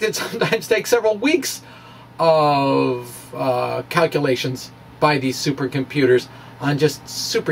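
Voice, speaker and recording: A man giving an informal explanatory physics monologue in a halting, self-deprecating, conversational way. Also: fairly high pitch, recorded from a distance, slight background noise. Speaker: amateur physics video blogger.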